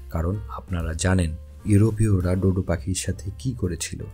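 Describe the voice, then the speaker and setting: Deep gravelly male documentary narrator. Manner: calm explanatory storytelling. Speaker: narrator of an educational channel. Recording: voice-over with faint background music.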